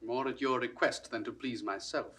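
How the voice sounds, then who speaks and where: An actor playing a courteous, obliging singer who attends a lord, husky-voiced, in classical Shakespeare audio drama style. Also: male voice, mid-range voice, fairly even intonation, moderate pace, a bit bright, natural voice. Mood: modest, reluctant. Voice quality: ragged, husky.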